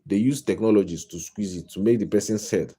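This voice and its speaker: A man's voice: on the low side, fairly quick, mellow, breathy edge. A man with a Nigerian accent giving a casual live-stream monologue as a life coach, rambling and repeating himself with wry humor. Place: home.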